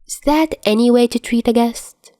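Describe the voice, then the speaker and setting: A woman's voice: fairly high pitch, normal speed, dark tone, dry recording, studio quality, pitched above the performer's own voice, close to an everyday voice. A woman voicing an airy, playful, theatrical young man with a sing-song, whiny way of talking in an audiobook story. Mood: airy teasing.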